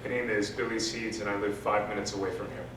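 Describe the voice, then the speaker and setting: A young man, a high school senior, giving informal answers in an interview. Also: level tone, quick pace. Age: young adult. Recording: roomy, far from mic, music behind.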